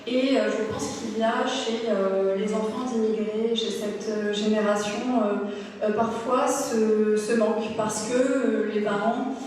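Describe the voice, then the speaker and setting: A woman novelist answering on a book fair panel, thoughtful and halting, with many fillers. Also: mid-range voice, steady tone, darkish tone, far from the mic, a little hiss or hum. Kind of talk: panel discussion. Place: large hall at a book fair. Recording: echoey hall, mic from afar.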